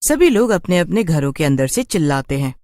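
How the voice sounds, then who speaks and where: A man's voice: a little high, sing-song, fairly quick, darkish tone, no room sound, clean studio sound. A man narrating a scripted cartoon horror story as its storyteller.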